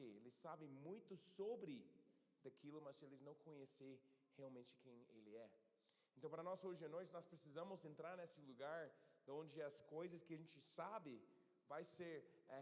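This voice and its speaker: A man preaching a sermon in a church and reading scripture aloud, often pausing to search for words and correct himself. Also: medium pitch, a little mellow. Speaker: pastor. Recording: long church hall reverb.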